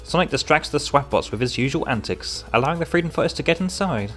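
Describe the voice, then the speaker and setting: A man's voice: fairly high pitch, animated, quick pace, a bit bright. Wry man narrating a review over music. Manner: sardonic, wry asides. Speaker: comic book reviewer. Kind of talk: scripted video review narration.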